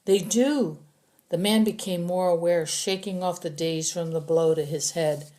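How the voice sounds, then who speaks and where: A woman's voice: fairly low pitch, level tone, normal speed, mellow. A woman reading first-person adventure fiction as a scripted audiobook narrator. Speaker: audiobook reader.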